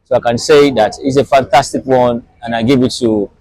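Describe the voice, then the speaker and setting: Nigerian male interviewee, medium pitch, halting emphatic repetitions, dry sound. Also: steady tone, a little mellow, no echo, poor audio. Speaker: audience member giving a review. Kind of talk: unscripted interview.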